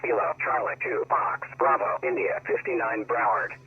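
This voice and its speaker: Creaky male ham operator on the radio, clipped call signs, lots of static noise. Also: medium pitch, moderate pace, phone-quality sound. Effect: heard through radio receiver. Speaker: amateur radio operators on air. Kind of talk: clipped radio contest exchanges. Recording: noisy crackly shortwave radio audio.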